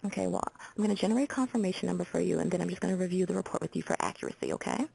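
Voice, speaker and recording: A woman playing a procedural, businesslike hotline call taker with an American accent and a husky, creaky voice, in a simulated intake call. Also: lowish voice, very fast, dark tone, exaggerated voice. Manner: procedural, reads details back methodically. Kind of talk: simulated phone intake interview for training.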